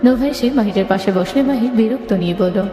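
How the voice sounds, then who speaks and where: A woman's voice: on the high side, fairly quick, dark tone. A woman narrating a scripted story in the third person. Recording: echoey long reverb, music bed.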